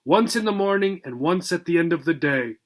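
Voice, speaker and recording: A man's voice: a little high, animated, fairly quick, close to an everyday voice. A man reading a storybook part as a casual, matter-of-fact teenage boy explaining things. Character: matter-of-fact teenage boy giving pet-care instructions.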